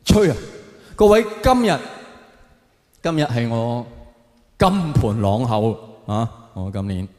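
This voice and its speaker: A man with a Hong Kong Cantonese accent doing an animated, colloquial stand-up comedy routine on stage. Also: medium pitch, slow, long pauses. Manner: animated, colloquial, acting out exchanges. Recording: echoey hall with long reverb.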